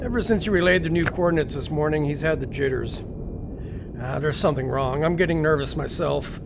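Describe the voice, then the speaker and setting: An actor playing a wry, nervous, philosophical ship's doctor in an audio drama, with a musing way of talking. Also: male voice, fairly quick, gravelly. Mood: uneasy. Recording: steady engine rumble behind the voice.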